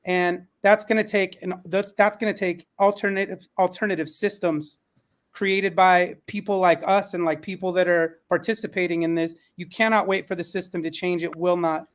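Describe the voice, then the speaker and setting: A man with a notably high-pitched voice speaks as an educator on a panel. He is emphatic and opinionated, building his argument through lists and short repeated phrases. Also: steady tone, normal speed. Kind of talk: panel discussion, opinion talk.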